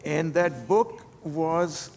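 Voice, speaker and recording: Older man with gravelly voice. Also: a little high, darkish tone. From Pakistan. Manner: halting, many repeated words and restarts. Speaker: panel speaker. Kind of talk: unscripted personal reflection at a panel. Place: conference hall. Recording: long hall reverb with faint noise.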